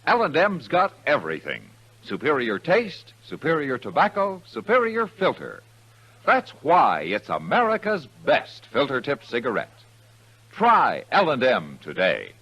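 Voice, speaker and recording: A man's voice: mid-range voice, wildly swooping pitch, unhurried, pushed and bright, breathy edge, dull audio. A man reading a 1950s radio advertisement as an announcer, with an emphatic, polished sales delivery. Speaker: commercial announcer.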